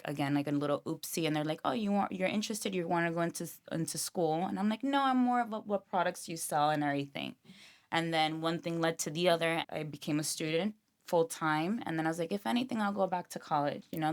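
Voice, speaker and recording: A woman in her thirties, a guest esthetician, chatting casually and laughing easily at herself. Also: low-pitched. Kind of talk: casual chat.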